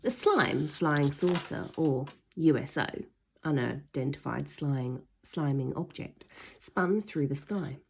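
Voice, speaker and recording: A woman's voice: deep voice, darkish tone, breathy edge. A woman reading a children's story aloud expressively, stretching key words for dramatic emphasis.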